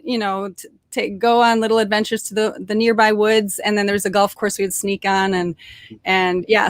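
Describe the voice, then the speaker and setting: A woman's voice: mid-range voice, fairly bright tone, dry recording. A young adult woman born in Norway and raised near Detroit, chatting cheerfully as a podcast guest, with frequent fillers and restarts. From born in Norway, raised in suburban Detroit, Michigan. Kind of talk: casual interview storytelling.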